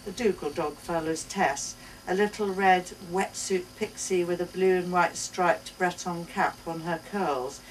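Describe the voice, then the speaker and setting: A woman giving an expressive live reading of a first-person short story. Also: on the low side, measured pace. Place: indoors at a bookshop book launch. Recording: distant mic, noisy live bookshop event.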